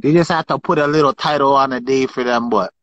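A young man hosting a casual livestream from home on his phone, giving shout-outs and asking guests questions. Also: mid-range voice, fast, no room sound. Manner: hype shout-outs, filler phrases. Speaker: livestream host. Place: indoors at home. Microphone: phone at arm's length. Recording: dull lo-fi livestream audio.

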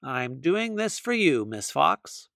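Fussy little storybook mouse girl, played by a man, high and pinched, slow. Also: very animated, gravelly, a bit bright, dry recording, clean studio sound, heavily acted.

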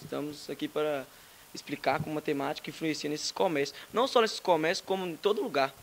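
A man's voice: fairly high pitch, fast talker, a little hiss or hum. A teenage boy presenting his school project, hesitant, with casual filler words. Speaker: student presenter. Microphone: handheld mic.

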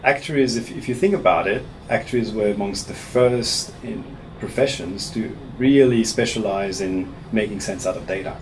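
A man from Switzerland in his thirties, a professor answering interview questions in a small office in a measured way, often restarting his words. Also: lowish voice, a little mellow, low-quality recording. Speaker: actuarial professor being interviewed. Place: small office meeting room, seated at a table. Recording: room recording with audible air conditioning hum.

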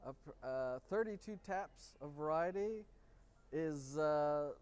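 A man's voice: a little high, expressive, slow pace, a little mellow, clear, resonant tone. A man in his forties co-hosting a casual chat in an outdoor bar courtyard, reading from a prompter and stumbling over names. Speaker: podcast co-host.

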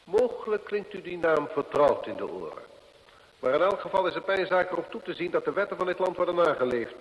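An actor playing a confident, authoritative detective in an old radio drama, speaking formally and incisively. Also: male voice, on the high side, big pitch swings, brisk, muffled recording. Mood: stern, probing. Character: confident, probing male detective, brisk and authoritative. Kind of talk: old-time Dutch radio drama acting. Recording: hissy old radio recording, long hall reverb.

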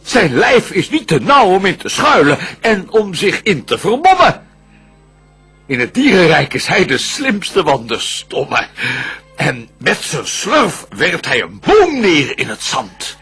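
Vengeful fallen angel in a radio play, super raspy, grandiose, swings way up and down. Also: male voice, sits high, wildly swooping pitch, takes it slowly, bright, forceful tone, soft background music, heavily acted. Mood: grand, excited. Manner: declamatory, grandiose. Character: vengeful banished devil, proud, scheming, fallen angel. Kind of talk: theatrical verse radio drama.

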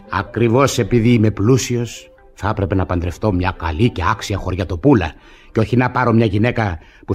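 A man's voice: mid-range voice, close to an everyday voice. An actor playing a bitter, suspicious wealthy peasant husband in a radio comedy, exclaiming and repeating his words. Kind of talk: Greek radio theatre acting of classic comedy.

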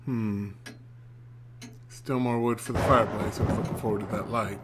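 Guy streaming a noir game, lowish voice, noisy mic, reads stuff out loud. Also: steady tone, normal speed. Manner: stumbles over names while reading. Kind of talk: let's play commentary and reading notes aloud.

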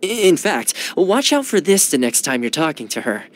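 A young man playing a friendly, earnest housekeeper retainer in a video game, eager, courteous and expressive. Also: fairly high pitch, normal speed. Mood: sincere, conversational. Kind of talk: video game character voice lines. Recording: studio voice over background music. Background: game menu music.

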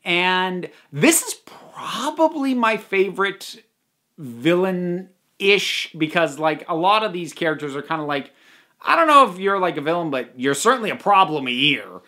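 A man in his thirties giving a casual, unscripted film review in an animated, rambling way full of asides. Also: sits high, measured pace. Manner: animated, rambling, with many asides and self-corrections. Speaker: film reviewer and vlog host. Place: small indoor room with shelves.

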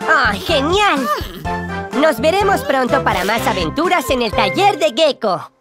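High, animated cartoon gecko. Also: female voice, measured pace, over loud music. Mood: cheerful, reassuring. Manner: exclamatory, explaining things to young viewers. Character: cheerful, helpful young gecko mechanic, excitable and reassuring. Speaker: cartoon lead and narrator. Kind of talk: animated children's show dialogue dubbed in Spanish.

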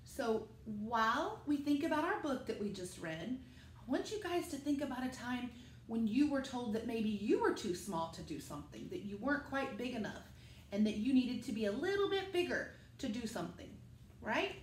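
A middle-aged woman, a school teacher, reading a picture book aloud to children and speaking to them directly in an animated way. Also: a little high, normal speed. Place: living room at home, indoors. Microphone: camera a few metres away. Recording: echoey room with faint noise.

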